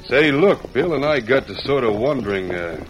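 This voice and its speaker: A man's voice: medium pitch, animated, normal speed, faint music behind, lightly acted. An actor playing a deferential hired henchman in an old-time radio western, with a folksy manner. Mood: wary, obedient. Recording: dull, noisy vintage radio recording.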